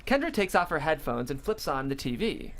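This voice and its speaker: A man's voice: on the high side, sing-song, brisk, fairly bright tone, slight background noise. A man narrating the stage directions at a comedy script table read.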